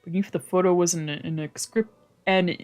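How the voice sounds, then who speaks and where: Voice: mid-range voice, steady tone, normal speed. Streamer reading visual novel narration. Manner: reads narration in first person, translating lines, with whispered asides. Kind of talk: reading game narration aloud.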